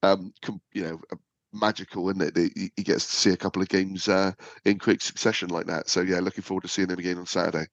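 A man's voice: low voice, little pitch movement, fast, dry recording. A man co-hosting a football podcast in casual chat, talking with lots of fillers and restarts. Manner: chatty, many fillers and restarts. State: slight man flu. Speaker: podcast co-host.

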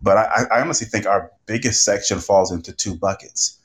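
Male podcast panelist, low register, emphatic delivery with deliberate repetition. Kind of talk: unscripted panel talk.

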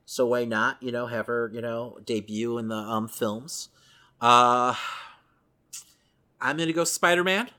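A man's voice: mid-range voice, a little slow, long pauses, sharp tone. A man co-hosting a podcast, chatting casually and thinking aloud as he goes. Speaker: podcast co-host. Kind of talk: casual chat about comic book films.

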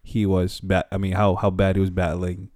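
A man hosting a casual conversation, relaxed and joking. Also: sits low, normal speed, no echo, clean studio sound. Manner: relaxed, joking, laughs easily. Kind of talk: casual chat.

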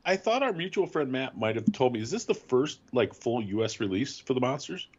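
A man's voice: medium pitch, very animated, a little fast, breathy edge, dull audio. A man hosting a podcast interview, asking chatty questions with many restarts. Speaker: podcast host. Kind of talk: casual interview.

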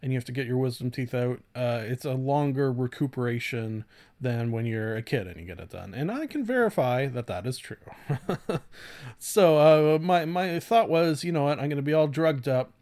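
A man's voice: medium pitch, moderate pace, darkish tone. Man in his thirties, casual rambling podcast host. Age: 30s. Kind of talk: casual solo podcast talk.

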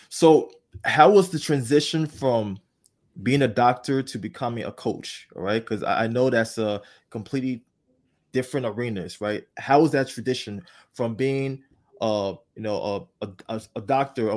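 A man's voice: mid-range voice, normal speed. A man hosting a podcast, introducing a guest and asking questions in an upbeat, enthusiastic way. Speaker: podcast host.

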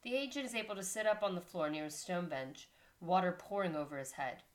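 A woman's voice: lowish voice, fairly quick. A woman co-hosting a casual podcast, reading a story aloud and stopping to chat about it. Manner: reads story text, breaks off to chat and laugh.

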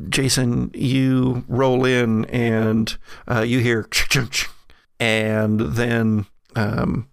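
Man, creaky voice, dry close sound, hesitant conversational game master, horror tabletop narration. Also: mid-range voice, no echo.

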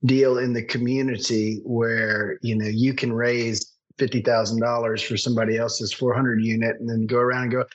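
A man's voice: medium pitch, little pitch movement, normal speed, hardly any pauses, lo-fi sound. A man hosting a podcast, chatting casually with a guest and often restarting his sentences. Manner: chatty, rambling with frequent restarts. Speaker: podcast host. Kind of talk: casual interview chat.

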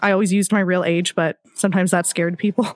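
A woman hosting a podcast, laid-back and quick to laugh, summing things up with quips. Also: lowish voice, level tone, no echo. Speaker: podcast host. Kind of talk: casual chat.

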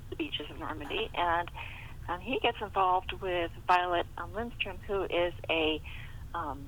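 A woman's voice: on the low side, a little hiss or hum, low-quality recording. A middle-aged woman chatting casually as a guest author, telling stories in a chatty way. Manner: chatty, animated storytelling. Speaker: guest author being interviewed. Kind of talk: casual chat about writing.

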